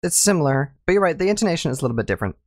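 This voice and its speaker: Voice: medium pitch, sing-song, rapid-fire, a little mellow, dry recording, clean studio sound. A podcast host who rambles through casual chat and laughs often.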